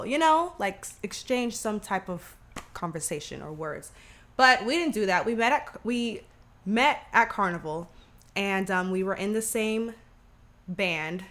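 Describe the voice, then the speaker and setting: A young woman co-hosting a casual podcast, her voice a little nasal from allergies, lively and laughing a lot. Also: medium pitch. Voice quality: slightly nasal. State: recovering from allergies. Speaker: podcast co-host. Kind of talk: casual chat.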